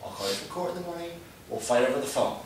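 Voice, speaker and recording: A man's voice: medium pitch, animated, racing, heavy reverb, distant mic, background noise, natural voice. An actor playing an exasperated young husband and lawyer, dry and sarcastic, arguing with his wife late at night. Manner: dry, sarcastic, rising to yelling. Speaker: husband in a quarrel.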